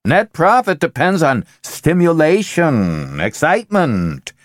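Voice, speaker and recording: A man doing a slow, blunt businessman. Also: fairly high pitch, very animated, dry recording, studio quality, voice pushed up.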